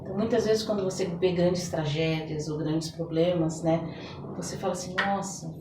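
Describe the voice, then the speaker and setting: A woman's voice: fairly low pitch, fairly even intonation, moderate pace. A Brazilian woman speaking as a therapist guest in a podcast interview, explaining conversationally with everyday examples. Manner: explanatory, illustrates with everyday examples and imagined quotes. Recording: roomy echo, distant mic, background noise.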